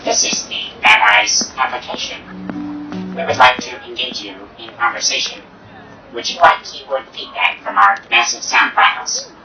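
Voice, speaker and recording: Formal machine guide played by an actor, theatrical and cryptic, creaky edge, swooping pitch, distant over music. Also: huge pitch swings, unhurried, pushed and bright, heavily acted. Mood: formal, inviting. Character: augmented reality app voice giving whimsical cryptic navigation instructions. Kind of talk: scripted app prompts, announcer-like. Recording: echoey, distant, dull, music behind.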